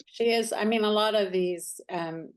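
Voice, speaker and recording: A woman leading an art study group discussion, speaking in a measured way. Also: mid-range voice.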